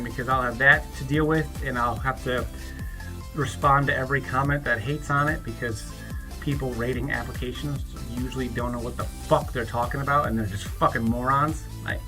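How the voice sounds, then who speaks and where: A man in his thirties talking alone to camera in a rambling, halting way, often restarting his sentences and sometimes breaking into profane ranting. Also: a little high, bright, forceful tone. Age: 30s. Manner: rises into an angry, profane rant. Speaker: YouTuber. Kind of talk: reflective birthday vlog monologue.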